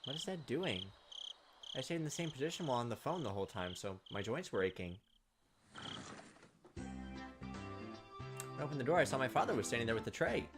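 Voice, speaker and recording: Male gaming host: dramatic storyteller with lively pitch, faint music behind. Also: normal speed, long pauses. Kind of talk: reading visual novel narration aloud with asides.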